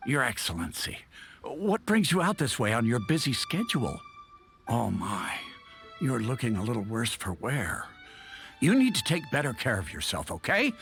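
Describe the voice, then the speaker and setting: A fussy, caring villager fusses over his leader in a game. His voice swings widely in pitch, with soft music behind. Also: wildly swooping pitch, normal speed, creaky, exaggerated voice. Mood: concerned.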